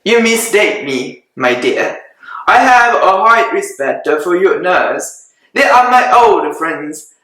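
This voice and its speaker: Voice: mid-range voice, very animated, slow pace, a bit bright. A reader voicing a dry, sarcastic older father who teases his wife, in a dramatic reading. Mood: wry. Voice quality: dry, teasing. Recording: very echoey room.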